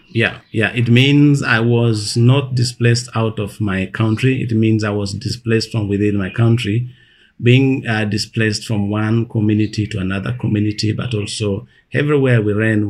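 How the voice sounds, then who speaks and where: A man in his thirties with a Ugandan accent, speaking as an interview guest in an earnest, measured way and repeating phrases for emphasis. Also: fairly low pitch, steady tone. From northern Uganda. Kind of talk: recalling his life in an interview.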